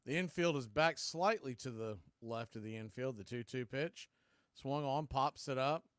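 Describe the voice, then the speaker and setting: A man calling a baseball game live in radio play-by-play style, in short clipped phrases between pitches. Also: normal speed, a bit bright, clear, resonant tone, dry recording, studio quality. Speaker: play-by-play baseball announcer and stream host.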